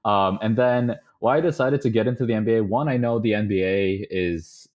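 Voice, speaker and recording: A young man from the Chicago suburbs, chatting as a podcast guest in a relaxed, rambling way with lots of fillers. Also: lowish voice, fairly quick. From suburbs of Chicago, USA. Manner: relaxed, rambling, many fillers, chuckles often. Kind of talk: casual interview conversation. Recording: slightly dull lo-fi audio.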